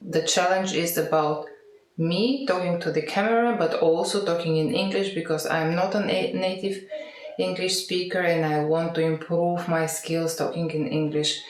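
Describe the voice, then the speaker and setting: Low-pitched, halting woman with Bulgarian accent. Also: fairly even intonation. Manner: halting, searching for words, self-correcting. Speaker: vlogger practising English speaking. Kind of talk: unscripted monologue to camera. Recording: echoey, roomy sound.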